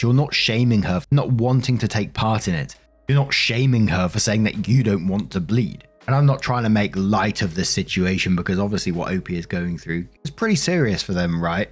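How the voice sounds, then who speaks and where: A man narrating online stories and commenting on them in a conversational, opinionated way. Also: on the low side. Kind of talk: reading stories aloud with casual commentary.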